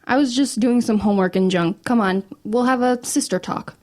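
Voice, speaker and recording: A woman as a wry, high-voiced teenage girl. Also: moderate pace, darkish tone, natural voice. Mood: casual, teasing. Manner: casual, dry, offhand. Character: guarded, wry teenage girl, protective older sister. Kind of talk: audio drama acting.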